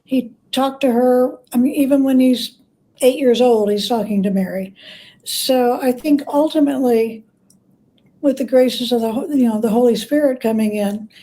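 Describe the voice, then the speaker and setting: A woman speaking as an expert guest in an interview, telling stories in a plain-spoken, thoughtful, measured way. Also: fairly high pitch, warm tone, low-quality recording. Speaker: guest, church historian and biographer. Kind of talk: conversational interview, storytelling.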